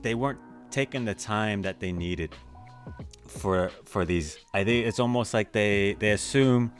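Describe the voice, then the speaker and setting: Man, very low voice, animated podcast talk, emphatic, faint music underneath. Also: unhurried. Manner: animated and emphatic when giving opinions. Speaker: podcast co-host. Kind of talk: casual chat.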